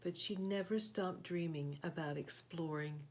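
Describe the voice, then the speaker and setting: Woman doing a storytime read-aloud, slightly low voice, expressive, leans on key words. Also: unhurried, a little hiss or hum. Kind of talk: picture book read-aloud with short asides.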